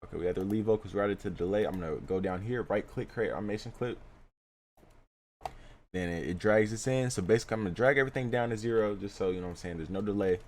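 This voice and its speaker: A man's voice: fairly low pitch, darkish tone, slight background noise. A young man casually explaining how he mixes vocals in a screen-recorded tutorial, laid-back and full of fillers. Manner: laid-back, filler-heavy, keeps saying "you know what I'm saying". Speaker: music producer teaching vocal mixing.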